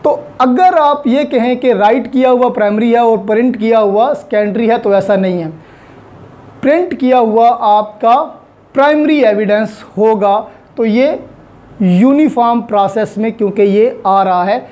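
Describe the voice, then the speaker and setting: A man's voice: extremely high pitch, measured pace, a little mellow. A man with a Pakistani accent giving an explanatory law lecture, posing questions and answering them, with English terms mixed in. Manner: mixes in English terms and phrases.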